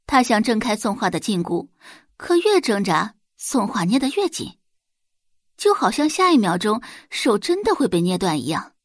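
A woman's voice: medium pitch, very animated, normal speed, no room sound, clean studio sound. A woman reading a scripted story expressively as an audiobook narrator.

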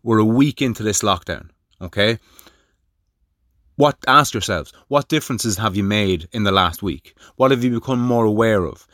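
A man's voice: on the low side, moderate pace, fairly bright tone, no room sound, studio quality. A man, talking alone on his podcast in a blunt way, swearing freely and firing rhetorical questions. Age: 30s. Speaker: podcast host. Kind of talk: solo monologue.

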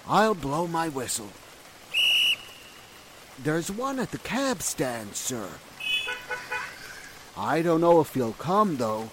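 A man acts a deferential doorman in old-time radio style. His highish voice rises and falls a lot at an unhurried pace, with rain and street noise clearly behind. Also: very animated. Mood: obliging. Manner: polite, servile. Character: deferential, chatty male doorman.

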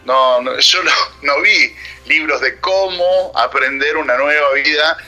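Male radio host, very bright sharp voice, thoughtful, halting speech, faint music behind. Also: on the high side, low-quality recording. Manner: thoughtful, halting, with many fillers. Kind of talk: reflective radio conversation.

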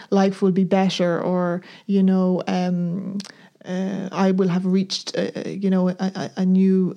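A woman's voice: medium pitch, vocal fry, dark tone. A woman co-hosting a talk podcast, thoughtful and earnest, searching for words as she reflects. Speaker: podcast co-host. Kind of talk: reflective conversational discussion.

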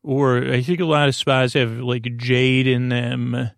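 Male sleep-podcast host: gravelly, creaky delivery, wandering and soothing, recorded close and dry. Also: medium pitch, level tone, no echo. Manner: meandering, digressive, halting, soothing. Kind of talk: rambling bedtime sleep podcast monologue.

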